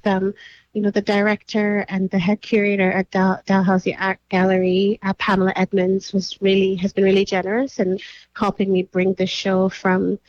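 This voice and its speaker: A woman from Nigeria, a curator and writer, answering interview questions thoughtfully with many pauses and fillers. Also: mid-range voice, darkish tone, no room sound, highs cut off.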